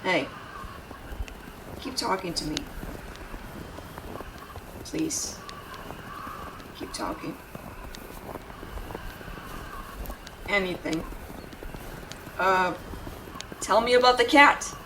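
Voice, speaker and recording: A woman's voice: medium pitch, expressive, slow pace, long pauses, far from the mic, background noise. A woman playing a brash but caring tsundere young woman in an intimate audio roleplay, scolding with tender worry underneath. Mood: worried, pleading. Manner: brusque, scolding, exasperated, with tender worried undertones. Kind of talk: intimate dramatic audio roleplay addressed to the listener.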